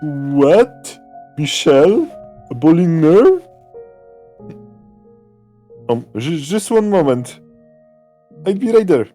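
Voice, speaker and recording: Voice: medium pitch, very animated, slow pace, long pauses, dark tone, soft background music, pitched above the performer's own voice. A streamer voicing a nervous young male manservant who stammers and hesitates. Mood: flustered.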